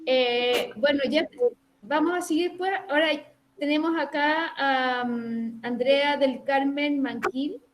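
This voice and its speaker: A woman moderates an online workshop, calling on each participant and thanking them. She speaks slowly in a high voice, with a courteous manner. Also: steady tone, a little hiss or hum. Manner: slow, courteous. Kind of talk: moderating introductions. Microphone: video call.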